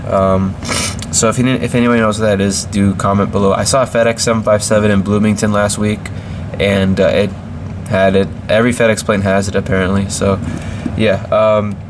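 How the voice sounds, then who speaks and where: A man's voice: fairly low pitch, level tone, moderate pace, noisy background. A man with a slight cold reviewing a model airplane in a casual, hesitant way, with many ums and restarts.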